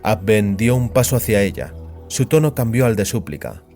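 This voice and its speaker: A man's voice: on the low side, lively intonation, moderate pace, mellow, faint music behind. A man narrating scripted audio fiction as a storyteller.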